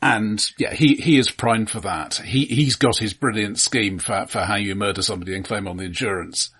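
A man's voice: lowish voice, moderate pace, fairly bright tone, slightly rough. A man co-hosting a podcast, talking thoughtfully about a film in casual chat, often repeating his first words. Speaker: podcast co-host.

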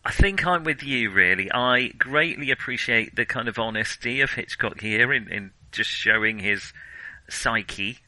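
A man's voice: medium pitch, unhurried, sharp tone, dry recording, low-quality recording. A man co-hosting a casual film discussion, hesitant as he thinks his way aloud through his opinions. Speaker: film podcast co-host.

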